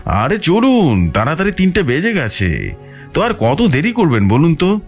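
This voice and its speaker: A man's voice: a little high, wildly swooping pitch, moderate pace, voice pushed up. A man plays a luring male spirit posing as a friendly relative in a horror tale. The voice is lifted and swinging, insistently coaxing, repeating its invitations. Music plays behind it. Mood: urgent coaxing.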